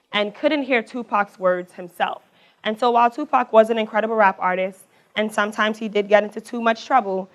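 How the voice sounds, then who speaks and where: A young woman with a raspy voice, giving a personal talk on a mic to a live audience, chatty and quick. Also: medium pitch. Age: young adult. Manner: talks fast, warm and chatty, laughs between thoughts. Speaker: alumna speaker. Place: event hall with audience. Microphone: handheld or stage mic.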